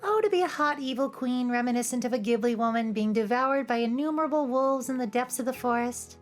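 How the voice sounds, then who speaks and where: A woman's voice: fairly high pitch, a little fast. A woman giving scripted, humorous commentary as a video essay host, with playful, cheeky asides. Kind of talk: scripted, humorous commentary over cartoon clips. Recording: music behind the voice. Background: background music.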